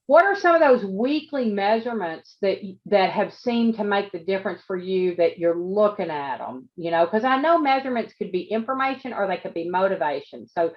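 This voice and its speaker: A woman's voice: medium pitch, lively intonation, brisk, few pauses, muffled recording. A woman business coach hosting an interview over a video call, encouraging and repeating words as she thinks. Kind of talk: interview host, casual.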